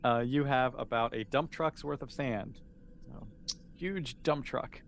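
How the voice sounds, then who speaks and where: A man acting as game master, improvising narration in a halting way as he thinks aloud. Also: medium pitch, gravelly, a bit bright, slight background noise.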